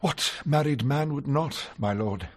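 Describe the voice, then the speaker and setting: An actor playing a wry, sharp-witted ageing English defence barrister in a radio drama, droll and courtly. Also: male voice, medium pitch, unhurried, natural voice. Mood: droll, persuasive. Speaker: lead character and narrator. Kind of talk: radio drama acting and narration.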